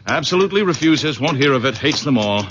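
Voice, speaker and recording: A man's voice: medium pitch, lively intonation, fast talker, close to an everyday voice. An actor playing an eager, persuasive young man in a radio drama, insistent and effusive. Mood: confiding, animated. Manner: insistent, effusive, repeats himself. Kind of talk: 1970s radio drama acting. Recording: muffled, noisy old radio broadcast recording.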